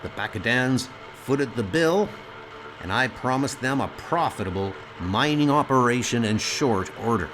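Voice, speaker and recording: A man's voice: medium pitch, expressive, normal speed, noisy background, close to an everyday voice. A man voicing a brash, boastful, dismissive frontier colony boss in an audio comic dub.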